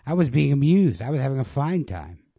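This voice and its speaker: A man's voice: mid-range voice, sing-song, brisk, mellow, breathy edge, dry recording, pitched above the performer's own voice. Man reading as a restless, wry young woman, shift up. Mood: needling, wry. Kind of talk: storybook read-aloud dialogue.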